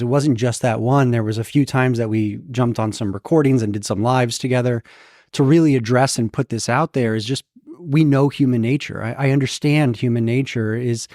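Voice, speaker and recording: A man's voice: mid-range voice, darkish tone, dry recording, clean studio sound. A man in his thirties speaking as an interview guest, reflective and earnest. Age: 30s. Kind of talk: casual interview.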